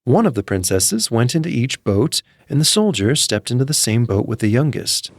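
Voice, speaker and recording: A man's voice: medium pitch, very animated, moderate pace, no room sound, clean studio sound. A man narrating a scripted fairy tale as a storyteller, reading in full literary sentences. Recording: music behind the voice. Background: background music.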